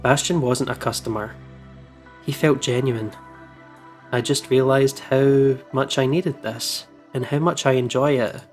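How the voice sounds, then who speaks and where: A man's voice: mid-range voice, steady tone, a little slow, faint music behind. A man hosting a let's play, casually commenting and reading visual novel text aloud in an informal, jokey way. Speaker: video game let's play host.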